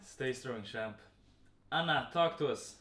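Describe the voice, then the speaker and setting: A man's voice: medium pitch, lively intonation, slow pace, a bit bright. Male fitness coach, webinar host. Casual, effusive encouragement, roomy sound, slightly off-mic. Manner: effusive, encouraging, informal hype. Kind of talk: casual group webinar hosting. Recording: roomy, distant webinar audio with faint music.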